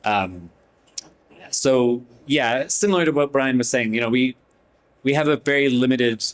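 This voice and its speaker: A man speaking as a newspaper editor on a panel, relaxed and conversational. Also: mid-range voice, unhurried. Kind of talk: panel discussion. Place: event hall panel.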